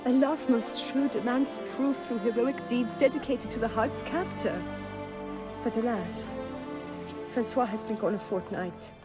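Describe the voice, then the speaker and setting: A woman's voice: fairly high pitch, measured pace, loud music behind the voice, natural voice. An actress playing an anxious young noblewoman in a fantasy video game, speaking in a formal, florid, theatrical manner. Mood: pleading. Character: anxious, courtly young noblewoman pleading for her fiancé. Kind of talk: video game character acting.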